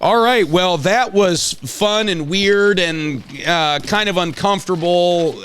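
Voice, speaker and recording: A man's voice: high-pitched, slow, a bit bright, slight background noise. A middle-aged man hosting a casual chat in a teasing, jokey way. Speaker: host.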